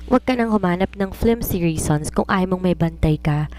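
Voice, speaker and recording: A woman's voice: fairly low pitch, fairly quick, a little mellow, close to an everyday voice. A woman voicing a doting, nagging widowed mother and businesswoman, mixing Tagalog and English in an affectionate scolding way. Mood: stern, scolding. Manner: Taglish, scolding yet affectionate.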